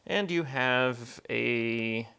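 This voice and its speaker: A man's voice: medium pitch, very slow, vocal fry, pushed and bright, smooth. A man giving casual, conversational unboxing commentary as a tech reviewer, explaining things as he goes.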